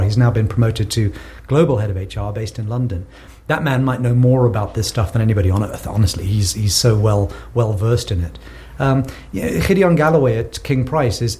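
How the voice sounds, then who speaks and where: A man's voice: mid-range voice, dark tone, a little hiss or hum. A South African man answering interview questions in a thoughtful way, often repeating words as he builds a point. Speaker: guest researcher. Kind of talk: conversational podcast interview.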